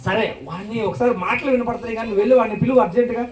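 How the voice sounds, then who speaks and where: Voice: medium pitch, fairly even intonation, very fast, a little mellow. A puppet show host bantering live with puppets and children, teasing, questioning and repeating phrases. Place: church hall with children audience. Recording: distant, echoey hall, crowd noise.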